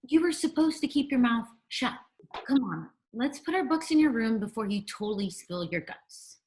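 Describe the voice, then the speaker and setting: A woman's voice: on the high side, lively intonation, moderate pace, darkish tone, natural voice. A woman playing a bossy, scheming tween girl in a comedic table read over a video call. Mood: bossy, impatient.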